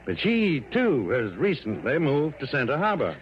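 An actor playing a hesitant, fussy, cautious American insurance man in a mid-century radio drama, halting and hedging. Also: medium pitch, wildly swooping pitch, slight background noise. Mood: fussy insinuating. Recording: muffled old radio broadcast.

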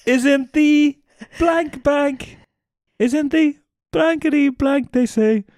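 A man's voice: very high voice, slow, darkish tone, dry recording, studio quality. A man hosting a podcast, chatting casually and teasing playfully with some swearing as he runs a word game. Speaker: podcast host. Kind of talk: casual banter over a word guessing game.

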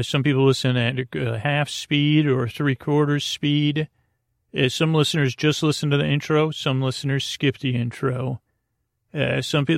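Male bedtime podcast host: heavy vocal fry, gentle reassurance, rambling halting delivery, dry close sound. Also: mid-range voice, dry recording. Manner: meandering, halting, over-explaining.

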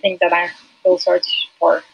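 A woman whose first language is Turkish, a UX designer as a podcast guest, talking hesitantly with many fillers and soft laughs. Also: lowish voice, completely flat delivery, measured pace, vocal fry, slightly rough, lo-fi sound. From Turkey. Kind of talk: casual interview.

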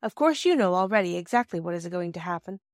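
A woman reading a scripted storybook as an audiobook narrator, in a measured, expressive storytelling manner. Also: on the low side, no echo, clean studio sound.

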